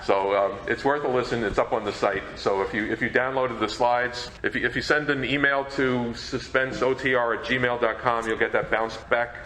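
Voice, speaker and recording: A man's voice: mid-range voice, steady tone, moderate pace, few pauses, pushed and bright. A man speaking informally as a panel presenter at a conference. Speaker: panel speaker and program collector. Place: roomy indoor conference presentation space. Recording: echoey room, faint noise.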